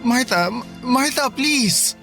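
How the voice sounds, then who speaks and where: High-pitched young Filipino man, clingy devoted boyfriend, earnest, anxious, radio drama. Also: expressive, slow pace, gravelly, soft background music, heavily acted. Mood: hurt, pleading. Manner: pleading, earnest.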